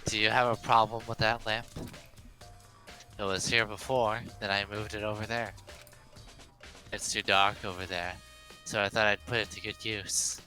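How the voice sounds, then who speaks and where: A man's voice: lowish voice, little pitch movement, normal speed, long pauses, pushed and bright, soft background music. A man playing a haughty, cold young man for a game dub, with an imperious, clipped and superior manner. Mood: lofty, explanatory.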